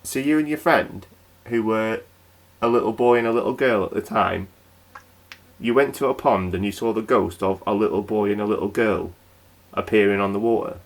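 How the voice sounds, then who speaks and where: Man acting local radio host, male, lowish voice, chatty, wry, informal late-night patter. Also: normal speed, natural voice. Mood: curious, chatty.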